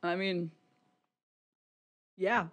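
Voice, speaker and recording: A podcast co-host chatting casually about media in a rambling, halting, sweary way, often repeating words. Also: medium pitch, fairly even intonation, fairly bright tone. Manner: informal, sweary.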